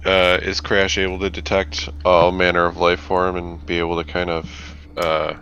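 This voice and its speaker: A man's voice: low voice, completely flat delivery, moderate pace, fairly bright tone, clean tone. A man playing a tabletop roleplaying game, putting in casual, tentative remarks about his character. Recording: slightly dull, band-limited microphone.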